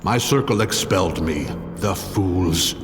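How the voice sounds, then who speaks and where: A man's voice: sits low, animated, measured pace. An actor playing a mad, haughty outcast druid villain in a fantasy audio drama, pompous and mocking in his theatrical delivery. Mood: proud, fanatical. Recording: long reverb, music underneath.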